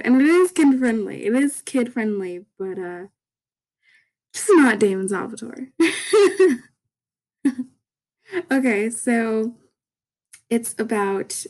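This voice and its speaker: A young woman hosting a casual podcast, her voice raspy from just waking up, talking in a rambling, chatty way with many fillers. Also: a little high, a little mellow. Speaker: podcast host.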